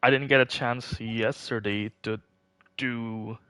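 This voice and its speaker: A man's voice: mid-range voice, steady tone, takes it slowly, fairly bright tone, smooth, no echo. A man casually chatting on a game livestream, rambling and correcting himself as he goes. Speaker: game streamer.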